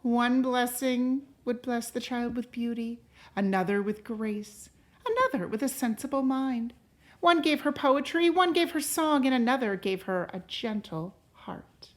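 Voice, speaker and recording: Woman reading a storybook aloud, higher-pitched. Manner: deliberate and expressive, pausing to question listeners. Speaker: teacher reading aloud to a class.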